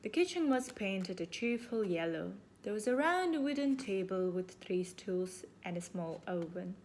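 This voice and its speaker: Slow, expressive woman reading a story. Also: medium pitch, a little hiss or hum.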